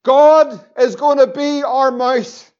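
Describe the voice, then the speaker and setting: A Northern Irish man preaching with fervour, his voice sitting unusually high for a man. He repeats phrases and draws words out slowly for weight. The recording sounds dull and lo-fi. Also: fairly even intonation, a little mellow, clean tone. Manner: fervent preaching, repeating phrases, slowing for emphasis. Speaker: pastor. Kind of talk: sermon.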